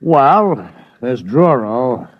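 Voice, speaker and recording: Sour, dry American bureau chief, muffled sound. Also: male voice, medium pitch, sing-song, extremely slow, no room sound, exaggerated voice. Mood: cautious, warning. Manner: dry, sardonic. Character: sour, jaded male news bureau chief on Mars. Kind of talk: 1950s radio drama acting. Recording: dull, dry old radio recording.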